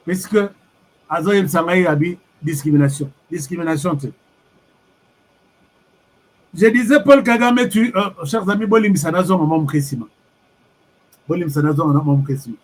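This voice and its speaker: A Congolese man talks straight to his online viewers, his voice slightly husky and pitched a little high for a man. He keeps his commentary animated, drops in Lingala phrases and reacts to comments as they come in. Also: normal speed, long pauses, low-quality recording. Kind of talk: live-streamed political commentary.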